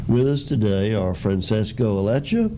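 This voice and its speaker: A man hosting a talk show, introducing guests in a measured, formal way. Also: fairly low pitch, warm tone, slight background noise. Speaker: host.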